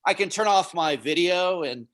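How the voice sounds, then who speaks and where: A man's voice: high voice, moderate pace, a bit bright. A man chatting casually and agreeably over an internet video call, sounding accommodating. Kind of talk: casual chat. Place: mountain house on a video call. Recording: slightly noisy, clicking internet call.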